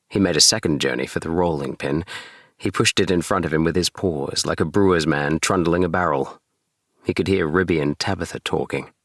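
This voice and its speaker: Male audiobook narrator, bedtime storytelling, low pitch, crisp bright edge, close dry booth sound. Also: no room sound. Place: small DIY recording booth.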